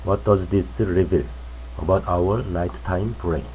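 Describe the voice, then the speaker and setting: Male narrator reading a feature article, low mellow voice, unhurried and careful delivery. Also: fairly even intonation, slight background noise, poor audio. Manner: slow, careful, measured reading. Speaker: English news reader.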